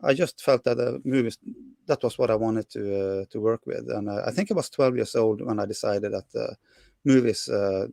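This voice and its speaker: A middle-aged man from Norway with a Norwegian accent, answering interview questions in English with many pauses and restarts. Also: on the low side, creaky, warm tone, no echo, studio quality. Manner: hesitant, many fillers and restarts. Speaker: film director guest.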